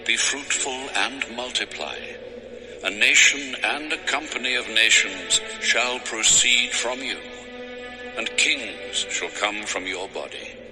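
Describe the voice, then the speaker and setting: A man's voice: medium pitch, bright, forceful tone. An actor playing God Almighty in a dramatized scripture recording, solemn and commanding as he pronounces blessings. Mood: solemn, majestic. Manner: slow, solemn, declarative. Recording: long cavernous reverb, music underneath. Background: music.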